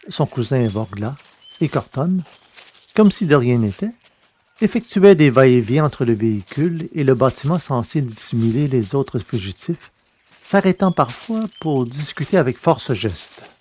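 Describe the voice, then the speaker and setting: Male audiobook narrator, mid-pitched, measured and steady, podcast novel reading. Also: slight background noise. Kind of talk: scripted narration of a science-fiction novel.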